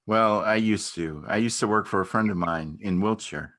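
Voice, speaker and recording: A man's voice: on the low side, moderate pace, fairly bright tone, close to an everyday voice. A man acting an awkward, earnest, unassuming beekeeper in a naturalistic stage reading, speaking in a hesitant, understated way. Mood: mild, hesitant. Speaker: amateur or local theatre actor.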